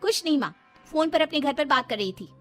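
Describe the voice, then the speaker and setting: Young educated daughter-in-law played by a woman, high voice, haughty, sly, snide, cartoon story over music. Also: animated, fairly quick. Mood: coolly polite. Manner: snide, scornful, drawling.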